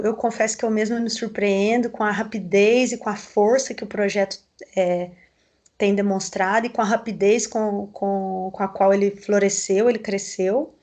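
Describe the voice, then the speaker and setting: Measured woman with a Mineiro accent. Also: mid-range voice, a little mellow. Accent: Mineiro Brazilian Portuguese. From southern Minas Gerais, Brazil. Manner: measured, explanatory, tag questions. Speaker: coffee growers' association representative. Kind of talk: explanatory interview answers.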